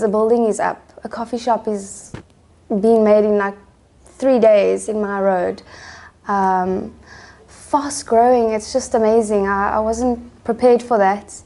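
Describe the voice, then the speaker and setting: A woman from South Africa, an English teacher, giving soft-spoken, reflective interview answers. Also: a little high.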